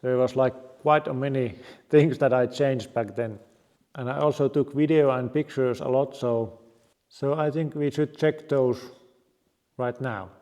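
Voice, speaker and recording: Slow, mellow Finnish-accented man in a reverberant garage. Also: medium pitch, fairly even intonation. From Finland. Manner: unhurried, plain-spoken, halting non-native English. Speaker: car restoration vlogger. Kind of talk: casual presentation to camera. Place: home garage.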